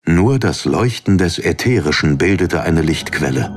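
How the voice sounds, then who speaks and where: Very deep-voiced male audiobook narrator. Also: animated, normal speed, slightly rough. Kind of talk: scripted dramatic fantasy narration. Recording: narration over background music.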